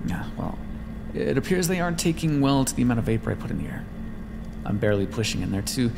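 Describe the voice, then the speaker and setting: A man acts out a clinical vampire scientist in an intimate ASMR roleplay. His voice is gravelly and swings freely in pitch as he muses aloud and teases his captive with smug condescension. A clear bed of background noise sits behind him. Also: very animated, quick pace. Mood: nervous, deferential. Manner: condescending, teasing, musing aloud.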